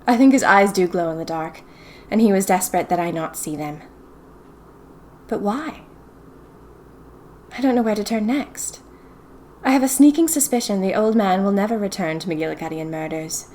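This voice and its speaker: A woman's voice: mid-range voice, darkish tone, lightly acted. Chatty young 1920s diarist, acted by a woman, noisy background. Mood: suspicious. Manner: chatty, dramatic, wry. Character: young 1920s typist confiding eerie happenings to her diary. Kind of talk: first-person audio fiction diary narration.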